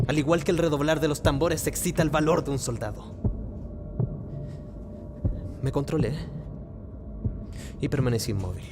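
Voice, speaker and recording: A man playing a nervous, obsessive madman confessing a murder in a theatrical, deliberate radio play monologue. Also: medium pitch, very noisy, close to an everyday voice. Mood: agitated, dread. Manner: theatrical, deliberate, halting phrasing. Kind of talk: dramatic radio play monologue, gothic horror narration.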